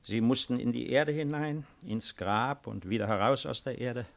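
An actor playing a proud, resigned baron awaiting arrest in a 1950s German radio play, speaking in a measured, formal, hesitant way. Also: male voice, medium pitch, creaky, close to an everyday voice. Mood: reflective.

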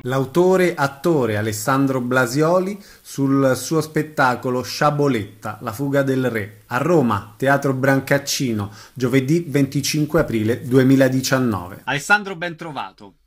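A man's voice: mid-range voice, lively intonation, measured pace, few pauses, faint music behind. A man hosting a radio programme on theatre, reading announcements and putting questions to guests. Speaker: radio theatre show host and interviewer. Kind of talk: scripted announcements and interview questions.

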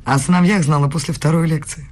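A husky young woman, a clever student in an intimate radio play. She is coy and quick-witted, teasing with formal politeness. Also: sits low, fairly even intonation, a little mellow. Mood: composed, knowing. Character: young female student, clever, coy, bold in secret love. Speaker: radio drama actress.

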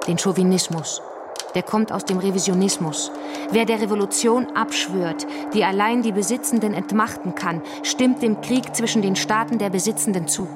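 A woman's voice: medium pitch, moderate pace, background noise, lightly acted. An actress playing a fervent revolutionary woman in a German radio drama, arguing in a scornful, didactic way. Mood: combative, lecturing. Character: fervent, combative revolutionary woman defending the workers' councils. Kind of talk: German radio drama dialogue, polemical debate.

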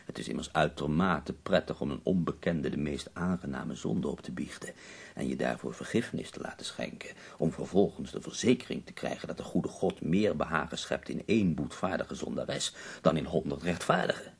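Very deep, gravelly ailing poet. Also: male voice, lively intonation, heavily acted. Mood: sardonic. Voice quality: husky, gravelly. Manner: theatrical, sardonic, dramatic pauses. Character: ailing, bedridden male poet in Paris, wry and death-weary. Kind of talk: radio drama acting.

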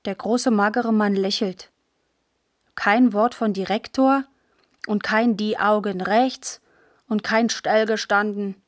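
A woman's voice: medium pitch, fairly even intonation, slow, no room sound, studio quality, lightly acted. A woman narrating a literary radio play as a girl who remembers the war's end, observant and matter-of-fact, in short clipped sentences. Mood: sober, observant.

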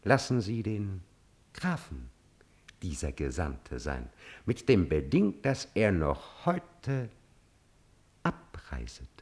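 An actor playing an obsequious, coolly calculating courtier in a classical German radio drama, speaking in a measured, insinuating manner. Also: male voice, low voice, huge pitch swings, darkish tone, exaggerated voice. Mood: scheming.

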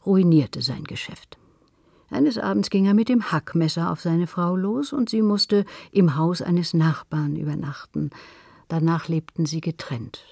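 Mellow, creaky man narrating literature. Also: a little high, dry recording, clean studio sound.

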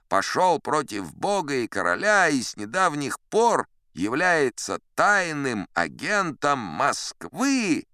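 Man voicing a haughty scheming English club gentleman, high indignant accuser, crisp dry studio sound for an audio drama. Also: no echo, exaggerated voice.

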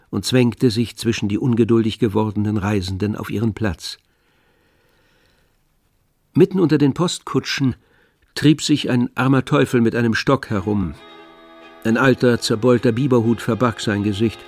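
A man reads scripted literary narration for a radio play. His voice sits at a middle pitch and moves in deliberate, measured phrases, with faint music underneath. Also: a little mellow.

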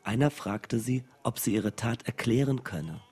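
Radio play: earnest, worried friend reporting, mid-range husky voice. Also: male voice, medium pitch, lively intonation, a little slow, darkish tone.

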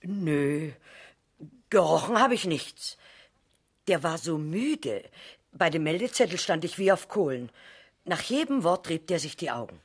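An actor playing a talkative, eager hotel keeper in a radio crime drama, chatty and animated. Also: male voice, on the high side, measured pace, long pauses, slightly rough, exaggerated voice. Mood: eager recounting. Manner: animated, chatty, quoting dialogue.

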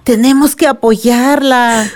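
A woman's voice: fairly high pitch, fairly even intonation, slow, over a noisy crowd. A woman playing a caring, sorrowful village grandmother in a radio drama, consoling and tender.

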